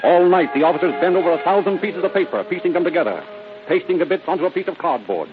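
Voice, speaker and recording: A man's voice: high-pitched, expressive, quick pace, narrow, tinny audio, over music. A man with an American accent narrating a crime drama in a dramatic, florid old-time radio style. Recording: thin old radio recording.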